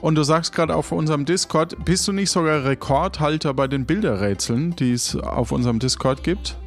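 Male host and narrator for improv radio play, higher-pitched, music underneath. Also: fairly quick. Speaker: host and game master. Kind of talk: improvised audio drama hosting and narration.

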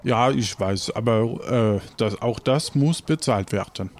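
A man's voice: medium pitch, unhurried, lightly acted. A man playing a proud, haggling cook and merchant, pompous and sprinkling in French words. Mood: insistent.

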